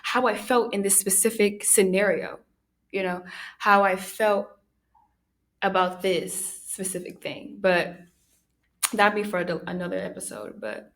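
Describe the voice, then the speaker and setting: A young woman hosting her own podcast, talking through personal thoughts in a soft, soothing voice, pausing often and using many fillers. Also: medium pitch. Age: young adult. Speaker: podcast host. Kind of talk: reflective personal monologue.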